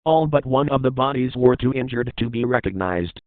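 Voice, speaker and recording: A man narrates a scripted horror story as a documentary report, at an average pitch. The sound is thin and narrow, like a phone line, with very little room in it. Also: a little fast, no echo.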